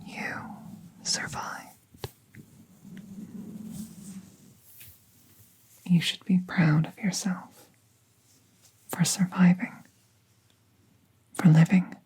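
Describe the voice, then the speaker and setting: Woman playing a whispery, breathy, slow-talking fae healer. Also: on the low side, level tone, warm tone, heavily acted, rough recording. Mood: tender, soothing. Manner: slow, soothing, formal wording. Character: gentle, playful fae woman veterinarian tending a wounded werewolf. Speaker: audio roleplay performer. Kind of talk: soft-spoken ASMR roleplay.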